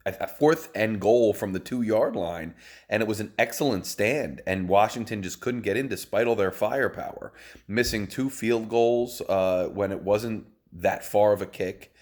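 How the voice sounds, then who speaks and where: A man with a hoarse voice hosting a casual postgame football recap, rambling and enthusiastic, recorded on a phone. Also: lowish voice. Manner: rambling, enthusiastic, many fillers. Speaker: host. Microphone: phone video.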